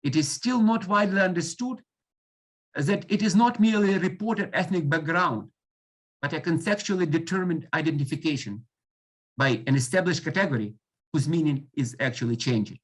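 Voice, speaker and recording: A man giving an academic lecture over a video call, speaking English as a second language with Ukrainian as his first, halting often with fillers and restarts. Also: a little high, rough recording. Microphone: computer mic on a video call.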